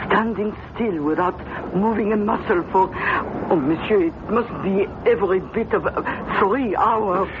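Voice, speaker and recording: A woman's voice: mid-range voice, a little slow, lightly acted. An actress playing a concerned, kindly woman shopkeeper in a radio drama, fussing and a little hesitant. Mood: worried. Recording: muffled, very noisy old radio broadcast.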